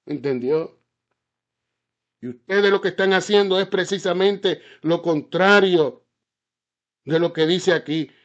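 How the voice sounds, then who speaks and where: A man's voice: high voice, slow, pauses often. A man preaching a fiery sermon, often stopping to ask whether his listeners understand, with stammered restarts and repeated words. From Caribbean island. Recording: slightly dull, lo-fi sound.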